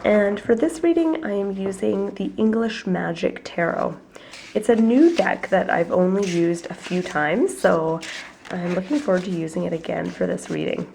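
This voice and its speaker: A woman with a cold giving a chatty, reflective weekly tarot reading at home, her voice husky and her talk full of fillers. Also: mid-range voice, moderate pace, a little mellow, slight background noise. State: has a cold, coughing. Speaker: tarot reader.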